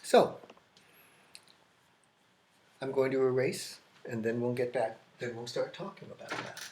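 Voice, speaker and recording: An American man teaching English grammar in a classroom. He explains slowly, repeats key phrases and restarts sentences midway. His medium-pitched voice rings out in an echoey room. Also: darkish tone, slight background noise. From United States. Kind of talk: classroom grammar lesson at a whiteboard.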